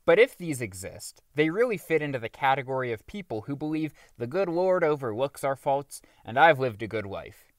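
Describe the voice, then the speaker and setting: A man reading a religious audiobook as scripted narration, with emphatic, deliberate phrasing. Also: mid-range voice, very animated, no echo, studio quality.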